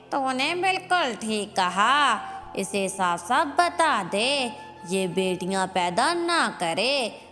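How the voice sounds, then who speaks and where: Woman as a bossy mother-in-law bird, very slow. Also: mid-range voice, fairly bright tone. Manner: nagging, admonishing. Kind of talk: Hindi cartoon moral-story voice acting. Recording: long reverb with music behind.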